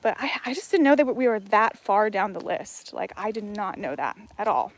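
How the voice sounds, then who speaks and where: A woman's voice: medium pitch, big pitch swings, moderate pace, slight background noise. A woman from Hawaii on a casual livestream, reading her chat aloud and rambling with lots of fillers and restarts while out on a walk. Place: outdoors on a jungle walk.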